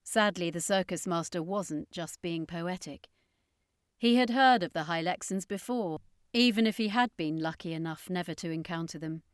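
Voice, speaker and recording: A woman's voice: lowish voice, moderate pace, clear, resonant tone, no room sound. Woman narrating adventure fiction, very dry sound. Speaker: audiobook narrator. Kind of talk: scripted dramatic story narration.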